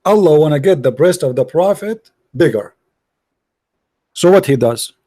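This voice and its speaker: A man's voice: fairly high pitch, expressive, mellow, no room sound, clean studio sound. A man hosting a confrontational live debate, firing off mocking questions in non-native English. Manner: mocking, combative, rapid-fire questions. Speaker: debate show host.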